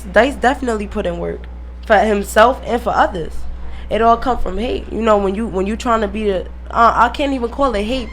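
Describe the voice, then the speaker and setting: Female interview guest, slightly high voice, casual slangy delivery, emphatic repeats, light background noise. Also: a bit bright. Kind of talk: casual radio interview chat.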